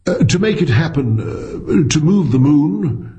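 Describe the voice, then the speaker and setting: Man as a hesitant, high-voiced senior astronomer. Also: sing-song, measured pace, mellow, muffled recording. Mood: uncomfortable. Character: male senior astronomer, polite, uncomfortable, weary scholar.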